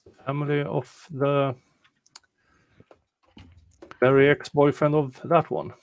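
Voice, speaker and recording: A man's voice: a little high, little pitch movement, vocal fry, no room sound. A man with a rural Swedish accent co-hosting casual commentary on trial footage, speaking hesitantly and drily. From Sweden. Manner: slow, hesitant, dry remarks. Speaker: co-host reacting to trial footage.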